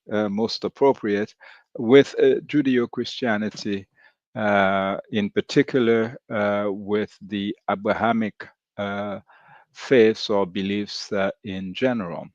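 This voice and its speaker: A man from Haiti, hosting a commentary program in a halting, measured way with frequent hesitations. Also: fairly low pitch, steady tone, no echo. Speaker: host. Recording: dull lo-fi dry recording.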